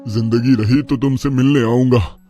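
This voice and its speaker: A man's voice: mid-range voice, fairly even intonation, normal speed, dark tone, soft background music, close to an everyday voice. A man playing a kindly older innkeeper in a cartoon moral story, speaking in an earnest, advising way. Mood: fatherly, earnest. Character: kind fatherly older male innkeeper giving advice. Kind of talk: Hindi animated moral story dialogue.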